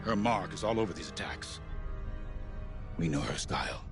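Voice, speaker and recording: Deep-voiced space commander in a game, secretive and authoritative, expressive delivery, loud music under him. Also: male voice. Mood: commanding, determined. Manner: authoritative, deliberate briefing. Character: resolute, secretive middle-aged male rebel commander. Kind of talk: video game cutscene dialogue.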